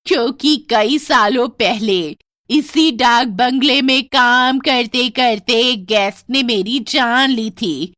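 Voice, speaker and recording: A vengeful old female ghost from a horror storybook. She is high-pitched and swooping, drawing out her words slowly and menacingly with bitter spite. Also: a bit bright, no room sound, clean studio sound, full character voice. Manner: slow, drawn-out, menacing delivery with evil cackles.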